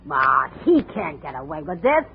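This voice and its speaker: An actress playing a tough, contemptuous, hard-drinking wife of a boxer in a 1950s radio drama, with slangy talk. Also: female voice, low-pitched, sing-song, normal speed. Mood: scornful, suspicious. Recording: muffled noisy old radio recording.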